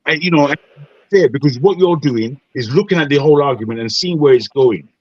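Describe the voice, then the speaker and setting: A Nigerian man on an online panel, speaking in a measured, advising way with frequent restarts. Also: on the high side, animated, dry recording, muffled recording.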